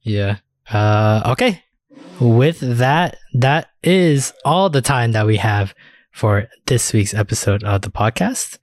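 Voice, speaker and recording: A man's voice: medium pitch, animated, takes it slowly, no echo. A man hosting a casual, sweary podcast chat. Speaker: podcast host.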